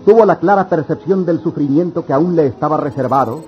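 A man's voice: a little high, a little fast, natural voice. A man narrating a radio drama in a solemn, poetic, scripted style. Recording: dull old radio recording. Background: music.

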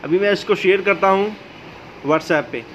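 Male tutorial host, notably high voice, explaining step by step over slightly noisy audio. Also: a little slow. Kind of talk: how-to video narration. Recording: dull lo-fi audio, steady background noise.

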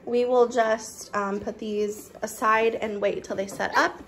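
A woman's voice: on the high side, fairly even intonation, unhurried, far from the mic, heavy background noise. A young woman casually narrating a cook-along in her home kitchen, explaining each step with friendly fillers. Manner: chatty, explaining steps with fillers. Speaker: cooking vlogger.

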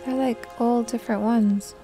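A woman's voice: on the high side, steady tone, moderate pace, over music. A woman giving casual reaction commentary on a video game.

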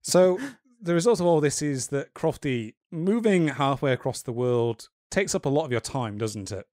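A man's voice: medium pitch, lively intonation, a little mellow, no room sound. Dry-voiced man hosting a chatty podcast. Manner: measured, wry and dryly joking. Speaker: podcast host. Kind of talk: casual podcast discussion.

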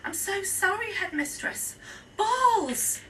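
A woman's voice: very high-pitched, big pitch swings, extremely slow, bright, forceful tone, recorded from a distance, a little hiss or hum, voice pushed up, heavily acted. A woman voicing a pompous, easily enraged male schoolteacher who bellows and splutters, repeating himself as he unravels in a children's story. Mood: flustered, apologetic.

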